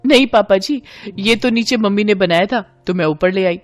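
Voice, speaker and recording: A woman's voice: mid-range voice, normal speed, no room sound, soft background music, natural voice. A woman voicing a spoiled, blunt young married woman with a breezy, pert manner in an audio story.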